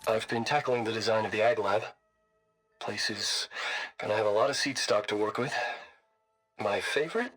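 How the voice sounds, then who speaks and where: An actor playing an informal, nostalgic plant lover in a video game audio log, reminiscing in casual, clipped sentences. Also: male voice, lowish voice, normal speed, long pauses, fairly bright tone, faint music behind.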